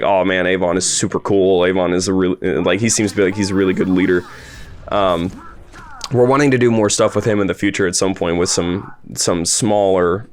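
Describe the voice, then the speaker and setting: A man chatting casually and enthusiastically as host of a commentary discussion. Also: lowish voice. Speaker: host and producer of an audio drama.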